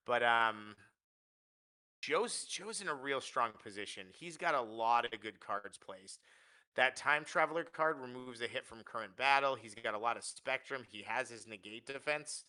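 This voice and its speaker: Bright-voiced male game commentator. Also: medium pitch, normal speed. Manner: analytical, restarts phrases often. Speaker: tournament commentator. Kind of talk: live play-by-play commentary.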